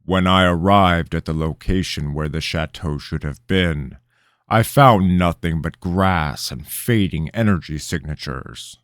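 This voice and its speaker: A man voicing a grave, formal royal advisor who speaks in a measured way. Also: low-pitched, fairly bright tone, no echo, studio quality.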